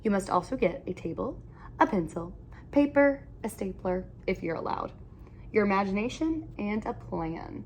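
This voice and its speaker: A woman reading a children's picture book aloud in an animated, expressive storytelling manner with emphatic phrasing. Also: mid-range voice, normal speed, a little hiss or hum.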